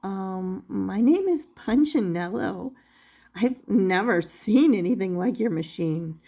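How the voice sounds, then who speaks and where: Woman as an earnest, slow-speaking little puppet fellow. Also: mid-range voice, expressive, warm tone. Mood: hesitant, polite. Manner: hesitant, musing to himself. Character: earnest, wistful young wooden puppet fellow, unsure of himself.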